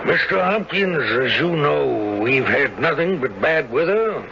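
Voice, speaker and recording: An actor playing a worried, formal sea captain with weighty, emphatic speech in a radio drama. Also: male voice, on the high side, big pitch swings, a little slow, gravelly, full character voice. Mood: grave worry. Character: grave, worried sea captain confiding in a passenger. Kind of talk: 1970s radio drama acting. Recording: muffled, very noisy old radio broadcast.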